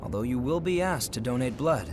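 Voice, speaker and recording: An actor voicing a calm, earnest and quietly burdened young man, with measured, reserved video game delivery. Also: medium pitch, background noise, lightly acted. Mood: calm, resolute. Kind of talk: restrained video game dramatic acting. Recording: music behind the voice. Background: string game score.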